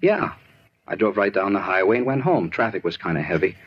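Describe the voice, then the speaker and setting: An actor playing a nervous, defensive male murder suspect with a husky voice in a 1950s radio drama. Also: sits low, sing-song, brisk, exaggerated voice. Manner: jittery, defensive. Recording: muffled 1950s radio recording.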